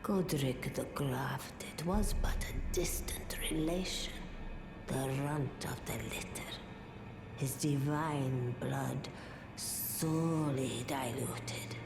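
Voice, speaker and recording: An actress playing an ancient, solemn old female oracle in a fantasy game, with a breathy, aged voice and a reverent, portentous way of speaking. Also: very deep voice, echoey room, over music, heavily acted. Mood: grave. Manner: slow, reverent, portentous, archaic phrasing. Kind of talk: scripted fantasy game dialogue.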